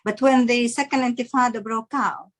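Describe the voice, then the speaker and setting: A woman on a panel speaking in a reflective, thoughtful way, with pauses. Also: fairly high pitch, fairly even intonation. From Palestine, raised in Russia. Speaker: panelist, filmmaker. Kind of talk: panel discussion.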